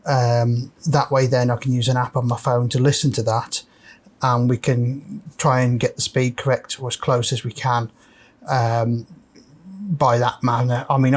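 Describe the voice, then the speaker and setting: A man hosting a casual, unscripted repair walkthrough, thinking aloud hesitantly as he works. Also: medium pitch. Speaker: host of a repair video.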